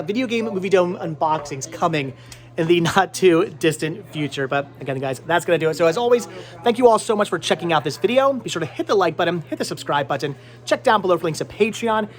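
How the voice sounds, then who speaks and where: A man with a notably high voice hosts a casual vlog. He talks informally to his viewers, rambling and circling back over his own phrases. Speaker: vlog host. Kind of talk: casual chatty vlog to camera. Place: on location at a flea market.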